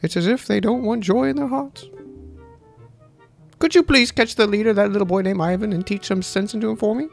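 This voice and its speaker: Man doing a high, sing-song flashy schoolmistress. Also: brisk, creaky, faint music behind. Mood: theatrical. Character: flashy middle-aged female schoolteacher, sweet and pleading. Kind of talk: reading game dialogue aloud in character.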